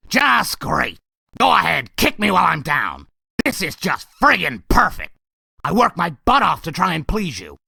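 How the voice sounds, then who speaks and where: Squat demon clown: raspy, drawn-out whine, swinging pitch, bratty sarcasm for a comic dub. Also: male voice, bright, forceful tone, no echo, studio quality, exaggerated voice. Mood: sulky, sarcastic. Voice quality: raspy, strained. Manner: whiny, sneering, drawling. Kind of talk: comic dub villain acting.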